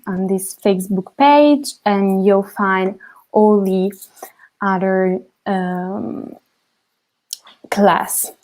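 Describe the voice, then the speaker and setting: Slow-speaking Italian woman teaching a lesson. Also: medium pitch, darkish tone. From Italy. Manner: checks in with "okay?" after each point. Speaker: language teacher. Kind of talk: online language lesson.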